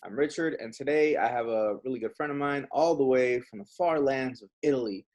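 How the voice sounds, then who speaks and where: Guy hosting a chat show, easygoing, says bro a lot, normal pitch. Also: brisk, a little mellow. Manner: friendly and informal, calls guest bro and man. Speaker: host. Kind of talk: casual interview chat.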